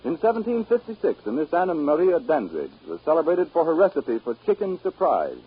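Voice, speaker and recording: A man's voice: fairly high pitch, sing-song, brisk, slightly rough. A man reading a scripted 1930s radio advertisement as a formal, emphatic announcer. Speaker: announcer reading sponsor's message. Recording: muffled 1930s radio recording.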